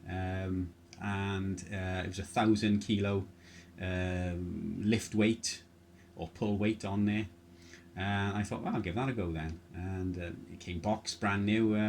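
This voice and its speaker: A man's voice: on the low side, slight background noise. A man rambling casually through technical details as he chats as an amateur radio operator. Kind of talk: casual chat over ham radio.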